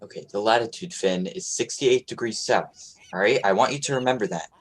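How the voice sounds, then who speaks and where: A man acting in a radio play as an earnest, determined young sea captain, speaking a little haltingly. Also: fairly low pitch, music in the background, close to an everyday voice. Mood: earnest, worried.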